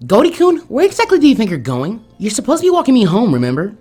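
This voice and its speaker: A man's voice: high voice, huge pitch swings, fast, a little mellow, slightly rough, soft background music, voice pushed up, heavily acted. A man voicing a perky, bossy teenage girl ninja in a story, chirpy and insistent.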